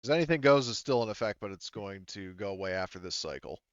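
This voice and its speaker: A man with a low, smooth voice works through game rules as a game master. He talks casually and haltingly, thinking aloud. The sound is dry and slightly muffled. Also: animated, no echo. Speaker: game master of a tabletop roleplaying game.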